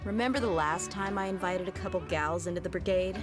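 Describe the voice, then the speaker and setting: A confident young female mercenary from a fantasy game , played by a woman. Her voice sits low, and she talks in a self-assured, casual, teasing way with a tough edge. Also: brisk, over music, lightly acted.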